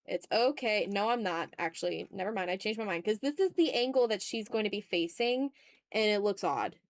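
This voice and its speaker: A woman's voice: mid-range voice, animated, brisk, no echo. A woman hosting a casual art livestream, chatting in a playful, slangy, exclamatory way while she draws, close to the mic.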